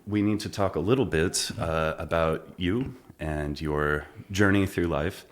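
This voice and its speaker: A man hosting a podcast interview, asking friendly, unhurried questions while seated indoors in a conductor's room. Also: sits low. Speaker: podcast host, double bass player. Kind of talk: casual interview conversation.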